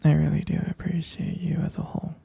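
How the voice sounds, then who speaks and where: A man performing intimate boyfriend roleplay ASMR in a breathy, husky whisper, murmuring tenderly and adoringly with soft pauses. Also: on the high side, level tone, no echo, muffled recording.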